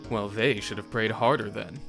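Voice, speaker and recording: A man's voice: fairly low pitch, moderate pace, creaky, fairly bright tone, faint music behind. A man playing a young crown prince in an audio drama, wry and bantering, playful and ambitious. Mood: grandiose joking. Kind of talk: audio drama character acting.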